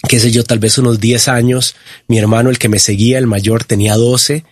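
Costa rican guy in his 50s telling his story in an interview, mid pitch, really dry recording. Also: flat delivery, a little fast, slightly rough, dry recording. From Costa Rica. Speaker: pastor, interview guest. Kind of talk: personal testimony in an interview.

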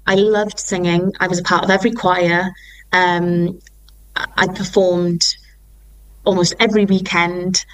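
A young woman talks eagerly about her life as a performer, circling back over her words as she goes. Her voice sits a little low for a woman. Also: rough recording. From Wales. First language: Welsh. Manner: enthusiastic, rambling with restarts. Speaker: musical theatre performer and singer. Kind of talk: interview.

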